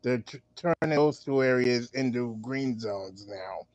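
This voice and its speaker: A man's voice: mid-range voice, measured pace, darkish tone, no echo. A man giving casual advice to a group in a blunt, folksy way, recorded lo-fi. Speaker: meeting participant giving advice.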